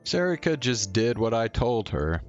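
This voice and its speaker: A man streaming a game, reading visual novel narration aloud in a measured storytelling way. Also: mid-range voice, very animated, dull audio. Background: game music.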